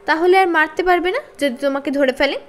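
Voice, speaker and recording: A woman's voice: sits high, brisk, pitched above the performer's own voice, natural voice. A woman voicing cheeky, defiant schoolboys in a children's storybook reading, boastful and bold. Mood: boastful defiance.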